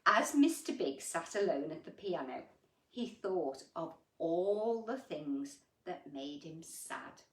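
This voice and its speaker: A woman storyteller reads a children's picture book at an unhurried pace, her pitch swinging expressively. She pauses for drama, stretches key words and adds gentle, sympathetic asides to the child listening. The sound is roomy, as if the microphone sits a little way off. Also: very animated. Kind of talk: animated bedtime story reading with chatty asides to young listeners. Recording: roomy echo, distant microphone.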